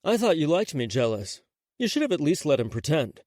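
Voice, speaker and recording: A man voicing a sharp-tongued young woman who answers with dry mocking wit. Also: on the high side, sing-song, fairly quick, dry recording.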